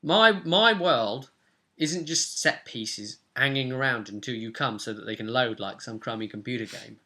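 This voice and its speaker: A man's voice: medium pitch, normal speed, pushed and bright. A young man recapping a tabletop role-playing session as game master in casual chat. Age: young adult. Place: small room at home, indoors.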